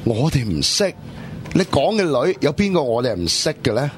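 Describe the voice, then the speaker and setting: A man's voice: mid-range voice, very animated, normal speed, a little hiss or hum, lightly acted. A man playing an easygoing young friend in casual Cantonese radio drama dialogue. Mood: teasing, exasperated.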